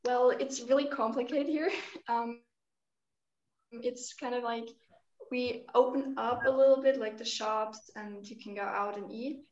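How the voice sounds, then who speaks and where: Casting: German teen girl, slightly high, chatty, roomy and muffled call audio. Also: fairly even intonation, unhurried. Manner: chatty, uses 'like' and 'kind of'. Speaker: guest. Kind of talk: casual online chat. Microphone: video call. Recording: muffled, echoey room over a call.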